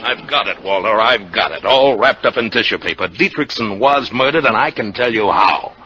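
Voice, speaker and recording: A man acting a shrewd, excitable insurance investigator in 1950s radio drama, insistent and emphatic, on a noisy vintage recording. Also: on the low side, normal speed, dull audio, faint music behind. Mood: triumphant. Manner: emphatic, insistent, repeats himself.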